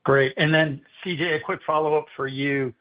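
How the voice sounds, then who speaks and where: A man's voice: fairly high pitch, steady tone, normal speed. Man analyst on a muffled phone call. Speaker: financial analyst asking questions. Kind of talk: conversational earnings call questioning. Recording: dull lo-fi conference phone line.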